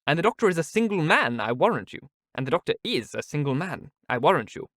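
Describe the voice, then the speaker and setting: A hearty, teasing older woman, played by a male narrator, loud and merry in a storybook reading. She calls out her jokes a little higher and louder than the reader's own voice. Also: sing-song, fast, no room sound, clean studio sound, full character voice.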